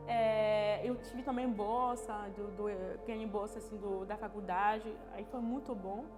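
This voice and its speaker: A woman's voice: mid-range voice, fairly even intonation. Woman telling her story in an interview, stops and restarts a lot, echoey with music behind. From France. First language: French. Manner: halting, searching for words, frequent restarts and tag questions. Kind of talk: personal testimony interview. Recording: long reverb with music underneath.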